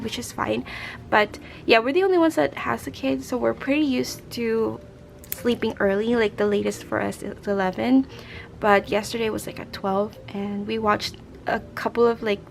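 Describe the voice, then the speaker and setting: A young woman with a hoarse, raspy voice and a bit of a gravelly edge, talking in a loose, rambling way as she counts bills aloud. Her voice sits a little on the high side, with faint room hiss behind her. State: hoarse from a late night. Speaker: budgeting vlogger. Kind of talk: casual chat while stuffing cash envelopes.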